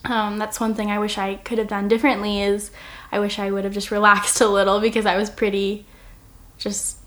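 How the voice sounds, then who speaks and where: A woman's voice: mid-range voice, flat delivery, brisk, fairly bright tone. A woman in her twenties from Oregon, answering a podcast interview in an earnest, reflective way and laughing easily. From Oregon, United States. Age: 20s. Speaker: podcast guest. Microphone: single shared microphone.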